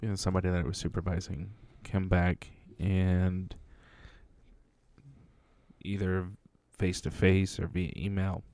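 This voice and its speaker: A man's voice: low voice, flat delivery, measured pace, long pauses, darkish tone, no room sound. A man co-hosting a podcast in casual chat, joking about himself and then answering in a thoughtful way. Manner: self-deprecating, joking, thoughtful. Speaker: podcast co-host, Air Force veteran.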